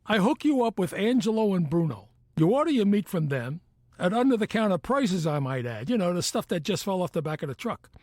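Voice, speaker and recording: A man acts out an older retired mobster for a comedic audio drama. He is sly and chummy, pushing shady offers with very animated pitch. The sound is close and dry. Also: brisk, no echo. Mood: sly, persuasive. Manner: sly, chummy wise guy patter. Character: wheedling old retired mobster, male, pitching shady deals.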